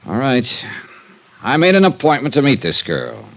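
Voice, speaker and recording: An American actor playing a wry, self-assured freelance insurance investigator in a 1950s radio detective drama. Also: male voice, medium pitch, huge pitch swings, normal speed, vocal fry, a bit bright, muffled recording, full character voice. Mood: matter-of-fact, subdued. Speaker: lead actor and narrator. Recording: noisy vintage radio recording.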